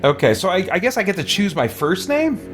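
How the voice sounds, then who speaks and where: A man's voice: high voice, sing-song, brisk. A man giving casual, off-the-cuff gameplay commentary as a let's play host, thinking aloud as he goes. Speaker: gaming host, let's player. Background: game music.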